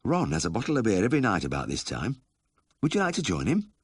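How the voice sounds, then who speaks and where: A man's voice: low-pitched, big pitch swings, fairly quick, slightly rough, no echo, clean studio sound, pitched above the performer's own voice, full character voice. A man voicing an eager, hospitable working-class wife with a South Yorkshire accent in a story reading. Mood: eager, friendly. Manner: friendly, homely, earnest.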